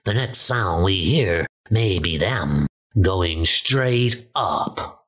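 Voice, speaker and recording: A man's voice: sits low, animated, drawn-out words, a bit bright, pitched below the performer's own voice, full character voice. A man voicing a callous mutant rat on hunting patrol in a comic dub. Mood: matter-of-fact.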